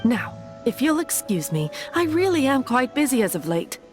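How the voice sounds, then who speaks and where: Fantasy game nun: highish, expressive, formal, courteous yet unbending. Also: female voice, normal speed. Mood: guarded, businesslike. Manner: formal, pious, curtly polite. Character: courteous but firm young nun, primly dismissive. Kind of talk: fantasy video game voice acting. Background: background music.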